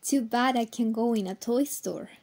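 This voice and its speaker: A woman playing a cheerful, caring young woman in an audio drama, with a soft, husky voice. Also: a little high, moderate pace. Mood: earnest. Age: young adult.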